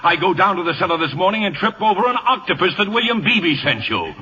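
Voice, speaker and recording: An actor playing an exasperated middle-aged householder with a husky voice, complaining indignantly in 1950s radio comedy style. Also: male voice, high-pitched, animated, fast talker, sharp tone. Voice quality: husky, raspy. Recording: dull lo-fi old radio broadcast.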